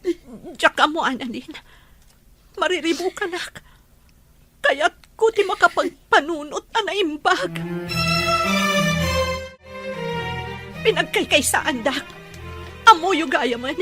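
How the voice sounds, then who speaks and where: A woman acts a hurt, jealous young woman in Filipino radio drama. Her voice is strikingly high, with a Filipino accent and faint music beneath. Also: animated, a little slow, long pauses. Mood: hurt, tearful.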